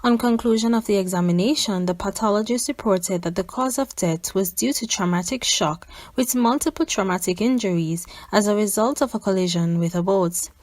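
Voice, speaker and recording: A woman's voice: mid-range voice, moderate pace, few pauses, a little mellow, no echo. A woman reading a scripted news report as a reporter.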